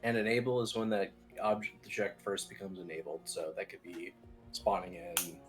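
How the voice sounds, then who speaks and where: A man's voice: low-pitched, level tone, normal speed, soft background music. A man giving an informal technical tutorial as team lead, explaining things in a loose, rambling way. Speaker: software team lead, instructor.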